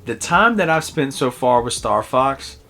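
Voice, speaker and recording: A man in his early forties giving a casual, conversational video game review in a halting, reflective way. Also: mid-range voice, big pitch swings, vocal fry, pushed and bright. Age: early 40s.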